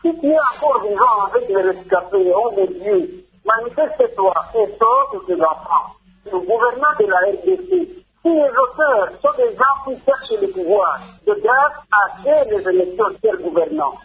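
Casting: high-pitched man from Congo, pleading caller, tinny phone line. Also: lively intonation, normal speed. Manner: pleading, emotional appeal. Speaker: listener caller.

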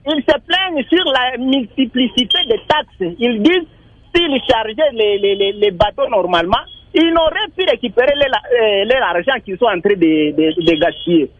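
A young man from Maniema calling in to a radio show, complaining in a halting way and repeating words. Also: very high-pitched, animated, no echo, phone-quality sound. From Maniema, Congo. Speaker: radio caller. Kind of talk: call-in comment.

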